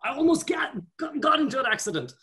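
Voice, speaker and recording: A man from India doing a stand-up set on a video call, talking haltingly with repeated words and dry punchlines. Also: very high-pitched, very animated, bright, forceful tone. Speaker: stand-up comedian.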